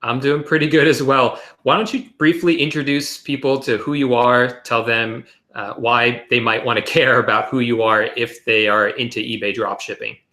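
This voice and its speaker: A man's voice: medium pitch, steady tone, moderate pace, hardly any pauses, pushed and bright. A man hosting an interview, speaking fluently in an upbeat presenter style. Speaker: podcast host.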